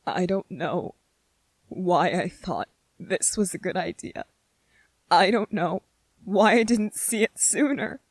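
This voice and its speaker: A woman playing an anxious, self-doubting, gentle young male soldier in a scripted ASMR roleplay monologue, speaking softly and haltingly with a husky voice. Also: mid-range voice, no echo. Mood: anxious, wistful. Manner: hesitant, halting, with repeated phrases and pauses.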